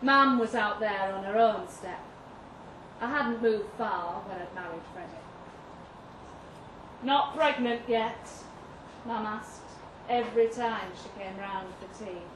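A woman giving an expressive, measured first-person reading of a literary short story at a live event. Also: mid-range voice. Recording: roomy live venue, distant mic, noisy.

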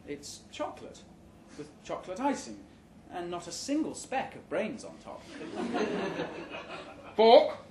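A man with a fairly high voice narrates a short story at a live reading. His delivery is measured and dry. The room echoes around him, with a little background noise. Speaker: story reader. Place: indoor live reading event before an audience.

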